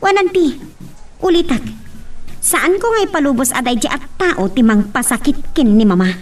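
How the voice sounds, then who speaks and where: An actress playing a spoiled young daughter in an Ilocano radio drama. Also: female voice, high-pitched, animated, moderate pace, recorded from a distance, faint music behind. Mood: scheming. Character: spoiled young daughter, remorseful yet plotting.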